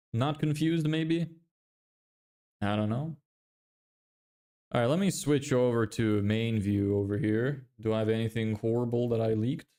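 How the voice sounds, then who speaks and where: A man's voice: mid-range voice, a little mellow. A young man from Lithuania with an American-sounding accent, chatting casually on a livestream, reading chat and swearing now and then, into a studio mic in a small bedroom. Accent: American-sounding English. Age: young adult. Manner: chatty, self-deprecating, reads chat aloud and swears casually. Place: small bedroom with a window, indoors. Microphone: large studio mic on a stand in front of him.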